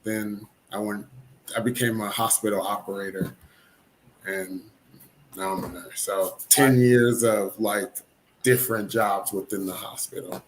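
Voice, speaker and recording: A man's voice: on the low side, steady tone, a little slow, slight background noise, rough recording. A man who is a new nurse on a panel, talking casually with fillers.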